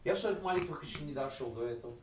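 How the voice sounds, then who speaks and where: A man's voice: mid-range voice, rapid-fire. A man speaking casually to a recovery group, telling jokes and using slangy, coarse words. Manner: animated, laughing, slangy and coarse. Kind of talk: casual joking talk to a group. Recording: roomy, distant, dull with faint noise.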